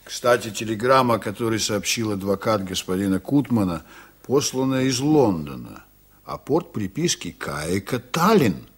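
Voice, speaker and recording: A man's voice: lowish voice, big pitch swings, moderate pace, slightly rough. A man acting a proud, stubborn sea captain in a radio drama, speaking firmly and formally with ironic bite. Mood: ironic confidence.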